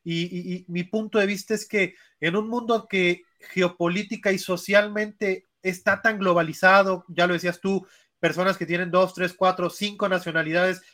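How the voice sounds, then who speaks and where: Bright-voiced man explaining carefully. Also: high-pitched. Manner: measured, explanatory, formal wording. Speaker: podcast co-host. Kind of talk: sports podcast discussion.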